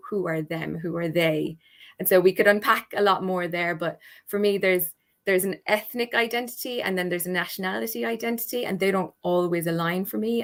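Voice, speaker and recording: An Irish woman with a fairly low voice speaks as a guest on a panel chat over a video call. She sounds reflective and thoughtful, choosing her words with care. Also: gravelly, fairly bright tone. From Ireland.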